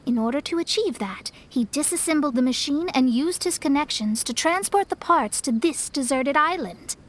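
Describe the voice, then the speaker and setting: Earnest, high-voiced young investigator. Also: female voice, expressive, moderate pace. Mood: earnest, explanatory. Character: earnest young female investigator explaining clues. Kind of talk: video game English dub acting.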